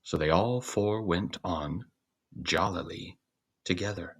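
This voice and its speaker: A man's voice: low voice, slow pace. A man reading a fairy tale aloud as scripted narration. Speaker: storyteller, reader.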